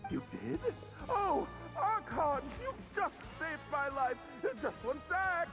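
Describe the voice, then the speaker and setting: A man's voice: very high voice, animated, takes it slowly, heavily acted. A man voicing a timid, flustered and over-grateful game character who stammers dramatically. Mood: relieved. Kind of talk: video game voice acting. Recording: long hall reverb, faint game music.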